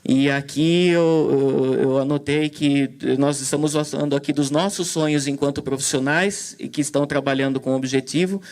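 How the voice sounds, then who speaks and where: Male moderator, hesitant delivery, fillers and restarts, slightly higher pitch. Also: fairly even intonation, normal speed, few pauses, a little mellow. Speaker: event moderator. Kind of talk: commentary on a talk.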